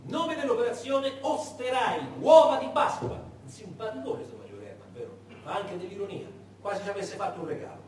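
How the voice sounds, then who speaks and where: A man narrating a story on stage in a dramatic, theatrical way, with deliberate pauses. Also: sits high, expressive, a little mellow. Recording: echoey room, far mic, faint noise.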